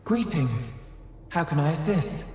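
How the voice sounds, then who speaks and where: A woman's voice: sits low, big pitch swings, a little slow, a little mellow, slight background noise. A woman voicing an ancient female alien AI in a video game, weary, proud and formal, with a pleading edge. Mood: guarded, formal. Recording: echoey hall with long reverb.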